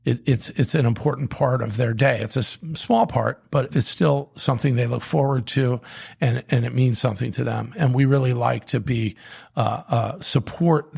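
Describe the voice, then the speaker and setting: A man with a heavily gravelly, husky voice speaks as an interview guest. He talks in a halting, reflective way, circling back and restarting his sentences. His pitch sits in the ordinary middle range for a man. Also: a little mellow. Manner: halting, many restarts and fillers. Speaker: coffee company co-founder, guest.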